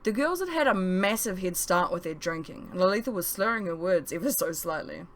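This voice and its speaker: A woman reading her own novel aloud as expressive audiobook narration. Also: on the low side, brisk.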